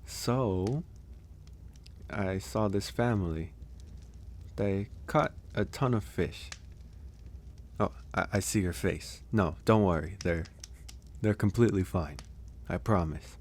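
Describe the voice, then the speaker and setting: Man acting as gentle loner werewolf, very low voice, hesitant soft delivery, ASMR roleplay, faint background noise. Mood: sheepish, amused. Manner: hesitant, soft-spoken, unhurried with sighs and pauses. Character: gentle solitary male werewolf caring for an injured stranger.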